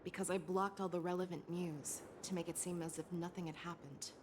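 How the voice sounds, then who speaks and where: A woman voicing a composed, shrewd general in a video game, with a measured, commanding, analytical manner. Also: on the low side, flat delivery, background noise, close to an everyday voice. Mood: calm, calculating.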